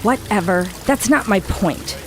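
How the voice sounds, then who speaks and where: An actress playing a self-absorbed New Age diva in a radio drama, theatrical, whiny and snide. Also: female voice, medium pitch, animated, unhurried, music in the background.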